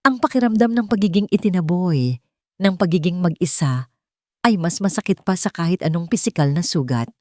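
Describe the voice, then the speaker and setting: A woman's voice: on the low side, expressive, normal speed, warm tone, dry recording, studio quality. A woman narrating a scripted romantic melodrama in a dramatic storytelling style.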